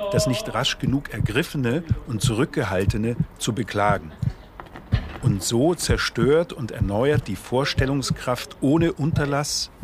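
A man reading scripted literary texts as a radio drama narrator, in a measured, solemn way. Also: medium pitch, background noise.

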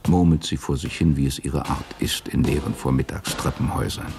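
Extremely deep, gravelly male narrator. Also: steady tone, brisk. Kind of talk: scripted radio drama narration.

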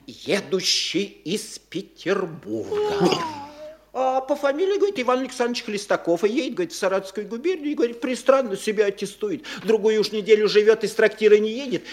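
A fussy middle-aged country landowner who rambles and stammers breathlessly. His pitch bounces all over the place as he piles up detail. A stage radio play reading.

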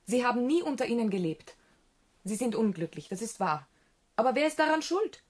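Radio drama, young country gentlewoman, artless, frank, self-assured, fairly high voice. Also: very animated, a little fast, husky. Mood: dismissive, matter-of-fact. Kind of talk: German radio drama acting.

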